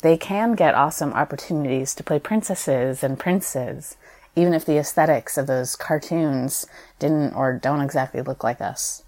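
A young American woman, a stage performer, reading her personal essay aloud in an expressive, conversational way. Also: deep voice, normal speed. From United States. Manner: expressive, conversational, acts out quoted dialogue.

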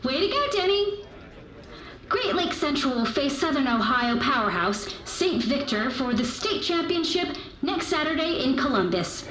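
A woman playing an upbeat high school student reading school announcements. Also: big pitch swings, normal speed, fairly bright tone. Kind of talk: peppy scripted school announcements. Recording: echoey school announcement sound.

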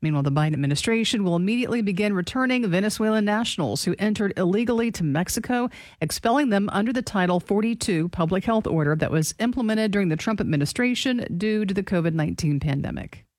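A man's voice: high-pitched, animated, fast, no echo. A man reading scripted news as part of a radio show, close on a studio microphone.

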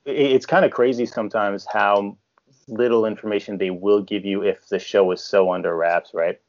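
A man from Canada whose first language is French, an actor chatting casually and haltingly in an interview. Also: on the low side, steady tone, highs cut off.

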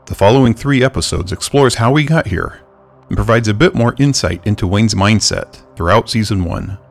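A man's voice: lowish voice, expressive, moderate pace, vocal fry. A man reading a scripted podcast introduction as the announcer. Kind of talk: scripted narration. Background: music.

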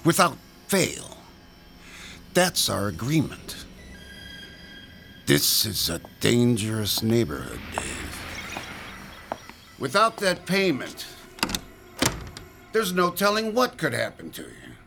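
Male thug for audio drama: taunting, mock-friendly menace, gritty creaky voice, very animated pitch. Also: takes it slowly, pauses often, noisy background, exaggerated voice. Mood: menacing. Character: menacing, sneering male extortionist thug, mocking bully. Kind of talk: modern audio drama acting.

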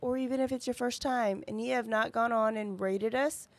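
Higher-pitched woman, chatty. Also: fairly even intonation, normal speed, no echo. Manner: chatty, informal, uses y'all. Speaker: podcast co-host. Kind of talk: casual banter with co-host.

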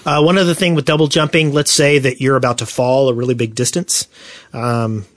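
A man's voice: mid-range voice, fairly even intonation, a little fast, no echo, studio quality. A man co-hosting a casual gaming podcast, explaining things conversationally. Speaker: podcast co-host.

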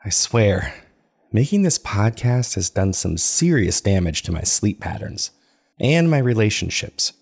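A man playing a troubled podcast host and family man in an audio drama, confiding in a measured, reflective, gravelly voice. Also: lowish voice, very animated, a little mellow, full character voice. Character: troubled podcast host, a married father searching for his parents. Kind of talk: confessional first-person audio drama narration.

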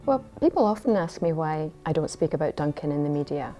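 A woman playing a weary mother in a comedy clip, delivering her lines dry and deadpan. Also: sits low, normal speed, faint music behind.

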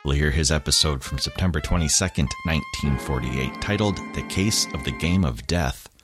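Casting: male host with an exceptionally low voice, reading show intros over prominent music. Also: normal speed. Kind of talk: scripted podcast introduction.

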